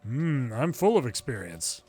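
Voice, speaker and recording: A man's voice: mid-range voice, sing-song, unhurried, warm tone, husky, faint music behind. A man reading a video game's story dialogue aloud in English, as a longplay narrator.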